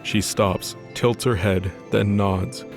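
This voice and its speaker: A man's voice: on the low side, steady tone, slow, music in the background. A man giving scripted narration for a dramatized fantasy story.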